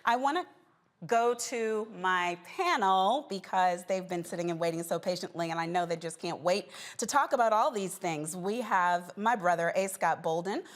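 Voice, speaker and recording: Female talk show host, lower register, conversational and probing, moderating interviews and panel discussion. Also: moderate pace. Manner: conversational, probing, with follow-up questions. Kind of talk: live panel hosting and interviewing.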